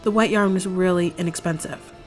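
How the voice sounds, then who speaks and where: A young woman hosting a DIY craft video, explaining each step in a chatty, relaxed way, her voice a little hoarse from a cold. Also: on the low side, fairly quick. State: slightly hoarse, recovering from a cold. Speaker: DIY craft channel host. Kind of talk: casual step-by-step craft tutorial voice-over. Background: faint background music.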